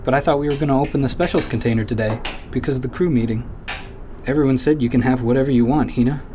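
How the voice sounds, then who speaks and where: A man's voice: medium pitch, fast talker, noisy background, close to an everyday voice. An actor playing an earnest, literal-minded crewman who talks in a plain, matter-of-fact way. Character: literal-minded man crew member, earnest, flat.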